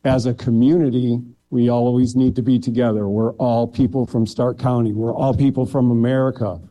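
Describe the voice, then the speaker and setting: Plainspoken male candidate at a public forum, deep dark tone, sincere with a dry joke now and then. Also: little pitch movement, moderate pace, muffled recording. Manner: earnest and plainspoken, with light joking. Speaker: county clerk candidate.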